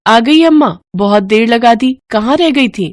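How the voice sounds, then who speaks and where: Casting: woman playing a greedy young daughter-in-law, higher-pitched, informal, quick to complain, cartoon. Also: no room sound, studio quality, lightly acted. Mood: impatient.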